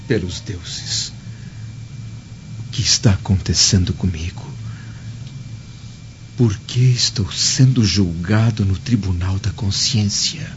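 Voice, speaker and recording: A troubled Roman senator speaks in a low, slow voice. He sounds anguished and dramatic in a muffled radio drama recording. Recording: dull, muffled audio.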